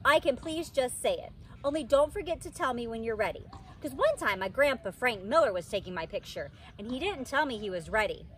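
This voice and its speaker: A woman's voice: on the high side, animated, a little fast, a bit bright. A woman voicing a stubborn, chatty little kindergarten girl in a storybook, blunt and childlike in manner. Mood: explaining eagerly. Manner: blunt, indignant, childish grammar. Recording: outdoor background noise.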